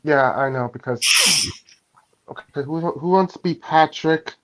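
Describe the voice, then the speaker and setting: A person chatting casually, breaking in with halting, scattered remarks. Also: mid-range voice, muffled recording. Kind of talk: casual chat, role-play interjections.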